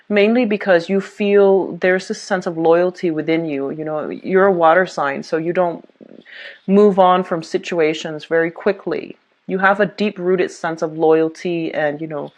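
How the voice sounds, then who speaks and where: A woman's voice: lowish voice, a little mellow, lo-fi sound. A woman giving a tarot reading to viewers, talking in a reassuring way with many fillers and restarts. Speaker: tarot reader.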